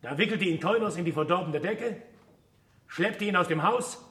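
An actor playing a famous physicist in a German radio play, wry, stubborn and didactic, with a declamatory manner. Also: male voice, high voice, sharp tone. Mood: measured storytelling. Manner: deliberate, declamatory storytelling and teaching.

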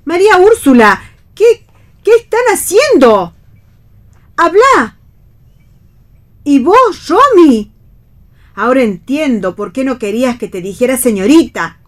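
Scandalized Argentine mother, high voice. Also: big pitch swings, slow, pauses often, heavily acted. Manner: indignant, reproachful exclamations and questions. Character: scandalized, flustered mother of a grown daughter. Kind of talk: radio play reading.

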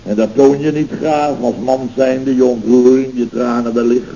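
A man plays a pompous boss of a building firm in a vintage radio comedy, wallowing melodramatically in self-pity and bluster. His tone is soft-edged and mellow. The old recording is muffled, with a clear layer of noise. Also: mid-range voice, fairly even intonation, moderate pace, lightly acted. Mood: solemn self-pity. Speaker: actor in a radio comedy serial. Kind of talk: 1970s Dutch radio comedy acting.

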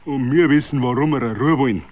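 A man's voice: mid-range voice, brisk, a little mellow, lightly acted. A man voicing a bitter Bavarian tradesman who rants about politics in a tavern, with indignant, emphatic dialect grumbling. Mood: defiant.

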